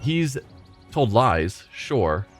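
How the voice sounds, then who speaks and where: A man's voice: mid-range voice, big pitch swings, extremely slow, faint music behind. A man hosting a let's play, thinking aloud hesitantly in casual commentary as he plays.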